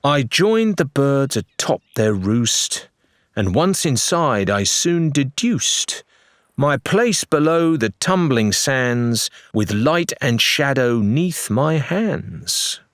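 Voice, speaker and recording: Guy doing a bold woman adventurer for an audiobook, pitched up from his own, practical and decisive. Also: sing-song, slow pace, no room sound, studio quality. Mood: solemn, deliberate. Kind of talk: audiobook character voice.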